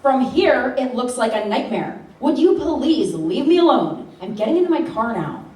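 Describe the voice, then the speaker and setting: A woman's voice: on the high side, big pitch swings, moderate pace, dark tone. A woman playing a sassy, exasperated flight attendant in a live radio play, sarcastic and flustered. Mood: annoyed. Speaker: amateur stage voice actor. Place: large indoor hall before an audience. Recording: echoey hall, far mic, faint noise.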